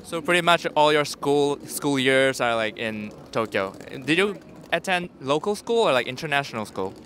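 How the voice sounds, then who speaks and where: A man's voice: medium pitch, lively intonation, normal speed, fairly bright tone, slight background noise. A man interviewing passers-by on a busy city street at night, polite, with small restarts.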